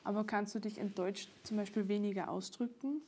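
A woman interviewing a songwriter, asking calm, even questions. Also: medium pitch, brisk.

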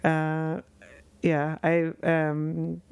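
Gravelly low woman interviewer, hesitant. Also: monotone, extremely slow, darkish tone, dry recording. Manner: hesitant, searching for words, many fillers. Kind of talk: casual conversational interview.

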